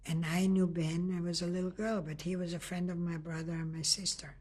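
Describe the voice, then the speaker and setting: An older woman from Lithuania giving an oral history testimony in a halting way, often restarting and searching for words. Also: on the low side, level tone, darkish tone, breathy edge. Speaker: interviewee.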